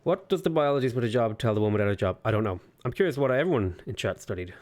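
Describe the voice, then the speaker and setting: A man's voice: mid-range voice, lively intonation, fast, darkish tone. A man in his twenties on a game livestream, casually chatting and joking while he reads viewer comments aloud. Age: 20s. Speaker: streamer.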